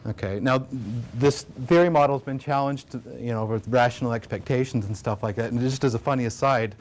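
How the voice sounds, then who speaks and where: An American man delivering an academic talk at a conference. His delivery is relaxed and unpolished, full of fillers and self-corrections. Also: mid-range voice, brisk. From United States. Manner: relaxed, many fillers and restarts. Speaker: conference presenter. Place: conference room.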